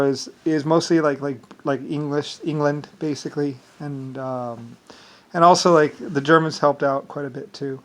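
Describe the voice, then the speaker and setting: A man with an Irish accent delivering a rambling, digressive solo monologue with self-assured claims. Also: a little high.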